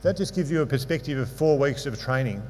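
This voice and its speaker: A man's voice: medium pitch, expressive, quick pace, a little mellow, soft background music. Man lecturing in a reverberant hall. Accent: non-local English accent. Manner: explanatory, with dry asides and fillers. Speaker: sports medicine lecturer. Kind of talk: conference lecture with slides. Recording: hall reverb with mains hum.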